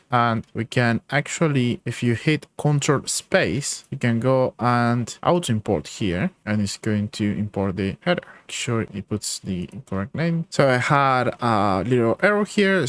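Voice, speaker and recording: A man around his thirties explaining things step by step in an informal tutorial style as he works. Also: mid-range voice. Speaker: coding tutorial host. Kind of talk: casual instructional screencast narration. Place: small indoor room at a desk.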